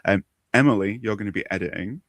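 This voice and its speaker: A man's voice: lowish voice, fast talker, fairly bright tone, no room sound. A man hosting a casual livestream chat in a playful, jokey, enthusiastic way. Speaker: host.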